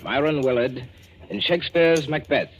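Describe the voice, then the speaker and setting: A man's voice: on the high side, moderate pace, slight background noise, natural voice. A man playing a grandiose, declamatory theatre announcer in a 1940s radio play. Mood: grand.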